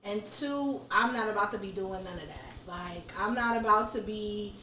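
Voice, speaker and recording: A woman's voice: medium pitch, normal speed, bright, forceful tone, echoey room, distant mic, slight background noise, rough recording. Off-mic woman hosting casual chat. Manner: candid, swears freely, thinks aloud. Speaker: podcast host.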